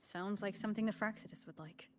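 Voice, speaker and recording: A woman's voice: mid-range voice, fast, natural voice. An actress playing an inquisitive, composed young female adventurer in scripted video game dialogue. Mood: inquisitive, serious. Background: game music.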